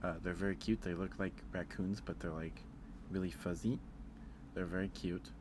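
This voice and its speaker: A soft-spoken man hosting a casual ASMR stream, chatting with viewers and musing aloud in a hesitant way. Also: low-pitched, fairly even intonation, moderate pace, background noise.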